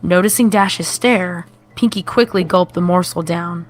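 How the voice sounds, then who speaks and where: A woman's voice: fairly low pitch, unhurried. A woman reading out a horror story as scripted narration. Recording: music playing behind the voice.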